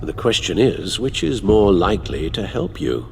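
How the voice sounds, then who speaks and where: Low, lively elderly butler. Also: male voice, moderate pace, gravelly, soft background music. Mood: measured, advising. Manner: formal, genteel. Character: dutiful, proper elderly male butler, loyal and worried. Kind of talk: video game voice acting.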